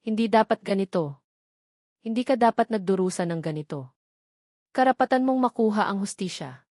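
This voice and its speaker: A woman's voice: medium pitch, animated, normal speed, darkish tone, no room sound, clean studio sound, natural voice. A woman voicing a scheming, falsely caring best friend in a romance audio drama, urging and persuasive.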